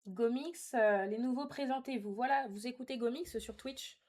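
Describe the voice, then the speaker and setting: A woman's voice: fairly high pitch, normal speed, a little mellow. Young woman, sassy live streamer. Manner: teasing, sassy, slangy. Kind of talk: casual live chat, reading and answering viewer comments.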